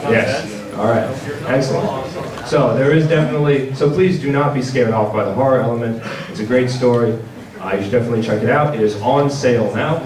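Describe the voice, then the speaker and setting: A man giving a product presentation at a convention panel, speaking in a halting, hesitant way. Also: mid-range voice. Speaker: presenter for a visual novel publisher. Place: large indoor convention panel room. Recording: roomy, distant convention hall with audience. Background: audience crowd sounds.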